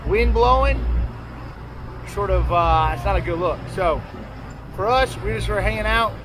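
A man's voice: sits high, lively intonation, measured pace. A man in his thirties on a boat deck, talking casually and folksily to a close camera about a fishing trip. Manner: laid-back, rambling, folksy. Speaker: fishing vlogger. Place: open deck of a boat at sea, outdoors. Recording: wind and engine noise outdoors.

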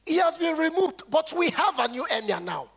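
An earnest, troubled Nigerian palace guard reports to his father in a stage play. His high, creaky voice is declamatory and very lively in pitch. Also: moderate pace, heavily acted. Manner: declamatory, dramatic. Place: theatre stage.

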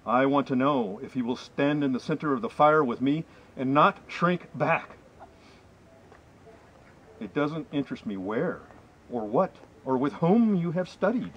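A middle-aged man reciting poetry to a small group outdoors, with earnest, deliberate and emphatic phrasing. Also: on the high side, fairly bright tone. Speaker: poem reader, presenter. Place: outdoors in a wooded area. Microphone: camera mic a few meters away. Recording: slightly muffled outdoor camera audio, faint ambient noise.

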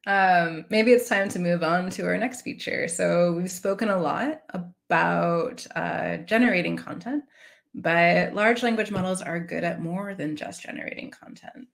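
A woman in her thirties presenting a software demo in a conversational way on a video call. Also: on the low side, measured pace, creaky. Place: small indoor room on a video call.

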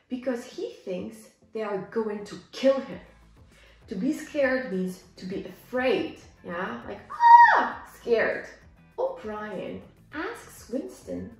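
A young woman teaching English, reading a simplified story and explaining it with deliberate, demonstrative enunciation for learners. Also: medium pitch. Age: young adult. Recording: echoey room, music behind.

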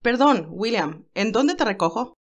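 A woman's voice: on the high side, lively intonation, measured pace, voice pushed up. A woman voicing a loyal, anxious male assistant in an audiobook, formal and deferential.

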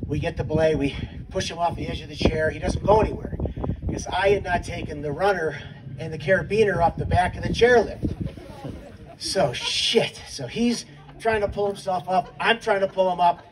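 A man's voice: sits high, normal speed. A middle-aged man telling a casual, wry story with swearing as a memorial speaker on an outdoor stage at night. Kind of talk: anecdotal storytelling speech. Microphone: handheld mic, filmed from the audience. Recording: distant phone capture with background noise.

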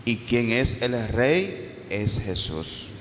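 Slow-preaching priest in a reverberant church. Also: male voice, medium pitch, very animated, a bit bright. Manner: slow, rising and falling preaching cadence with repeated phrases. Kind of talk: sermon. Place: church, at a pulpit. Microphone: handheld mic on a stand. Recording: reverberant church with faint background noise.

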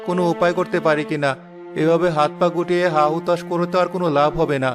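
Man as careworn village father, cartoon dub, fairly high pitch, plaintive, gentle, music behind. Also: level tone, quick pace, natural voice. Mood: downcast, weary. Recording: music under the voice.

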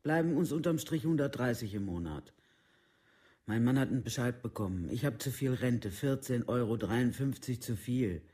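A man's voice: medium pitch, lively intonation, normal speed, mellow, close to an everyday voice. A man playing a worried village wife in a documentary radio play, talking in clipped, colloquial sentences. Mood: aggrieved. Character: worried wife of a jobless man, counting money.

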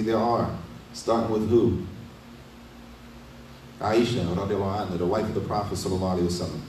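A middle-aged man talks through a religious lesson in a relaxed, conversational way, dropping rhetorical questions as he goes. His voice is low and mellow. The sound is echoey and distant, as if picked up by a desk mic set back in a small room. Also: a little hiss or hum. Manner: conversational, asks rhetorical questions with frequent "right?". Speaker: imam giving a lesson. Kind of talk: casual Islamic lecture. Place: small indoor study room. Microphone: desk mic on a stand.

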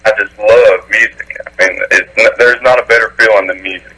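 A young man with a deep baritone voice, rambling folksy chat as a country singer guest on a radio interview. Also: fairly even intonation, a little fast, bright, forceful tone, rough recording. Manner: rambling, folksy, with many fillers and restarts.

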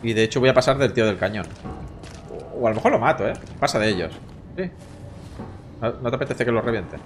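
Lively chatty man from Barcelona. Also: medium pitch, quick pace, faint music behind. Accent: Castilian Spanish from Barcelona. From Catalonia, Spain. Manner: chatty, swearing, joking with chat. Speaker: gaming streamer. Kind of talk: casual live gameplay commentary. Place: home room with webcam.

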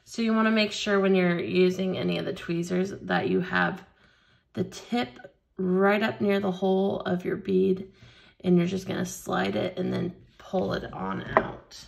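A woman leading a hands-on beading tutorial at a craft table, explaining each step slowly and patiently. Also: mid-range voice, gravelly. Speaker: craft instructor.

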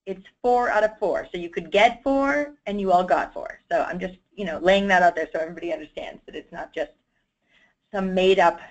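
A woman's voice: lowish voice, brisk. A woman's voice comes through with a dull, lo-fi quality, as she moderates an online webinar. She talks in a chatty, friendly way over a video call. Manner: friendly, chatty, thanks each speaker. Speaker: webinar host.

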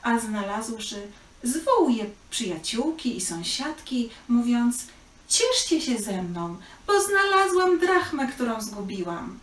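A woman in her thirties reads and explains scripture for children at a very measured pace. The room echoes and a faint noise sits behind her slightly distant voice. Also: mid-range voice. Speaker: children's Bible teacher. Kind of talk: scripture reading and teaching for children. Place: small living room, indoors.

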